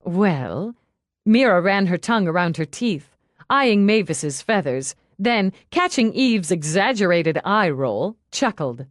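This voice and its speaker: A woman's voice: medium pitch, very animated, a little slow, dry recording, studio quality. A woman reading scripted fiction as an audiobook narrator.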